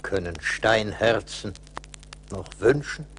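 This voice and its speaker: An actor playing a stern but pitying ancient little forest spirit in a radio play, solemn and admonishing. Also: male voice, lowish voice, lively intonation, takes it slowly, fairly bright tone. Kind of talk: 1980s German radio play acting. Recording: faint hiss of old vinyl record.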